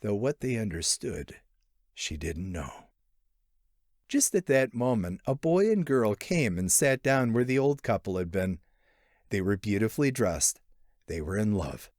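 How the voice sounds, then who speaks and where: A man narrating a literary short story in an expressive, theatrical way. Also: on the low side, a little slow, no room sound, clean studio sound. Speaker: audiobook narrator.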